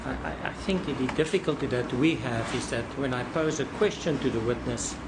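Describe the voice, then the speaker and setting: A man cross-examining a witness in court, deliberate and probing, often repeating words as he frames a question. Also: medium pitch, very noisy.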